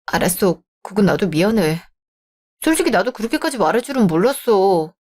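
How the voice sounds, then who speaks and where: A performer voicing a young fiancé in a radio drama, apologetic and conciliatory. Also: male voice, sits high, sing-song, fairly quick, darkish tone. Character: young fiancé caught between mother and bride.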